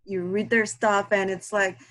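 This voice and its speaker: A woman from Guatemala, English not her first language, chatting over a video call in a halting way, with many fillers and restarts. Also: mid-range voice, flat delivery, fairly bright tone. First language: Spanish. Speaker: guest reader. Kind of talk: casual video call chat.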